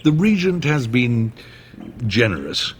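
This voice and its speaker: A man's voice: medium pitch, very animated, slow pace, slight background noise. A man playing a smug, oily nobleman villain in a fantasy game, with an insinuating drawl. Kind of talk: dramatic fantasy game acting.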